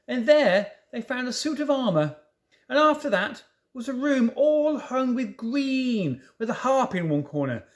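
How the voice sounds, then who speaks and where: A man reading a children's story aloud in an expressive way, with informal asides to listeners. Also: very high voice, unhurried. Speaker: storybook reader.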